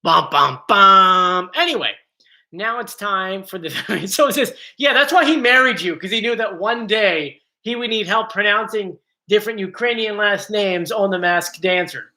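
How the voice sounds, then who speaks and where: A man's voice: high-pitched, animated, moderate pace, sharp tone. A man hosting a casual live stream from home, chatty and joking, easily drifting off topic. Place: home, bedroom area. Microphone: computer mic.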